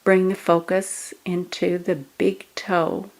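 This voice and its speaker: A woman guiding a meditation, giving measured instructions in short phrases with pauses between them. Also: lowish voice, level tone, a little mellow, poor audio. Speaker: meditation guide. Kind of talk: guided meditation narration.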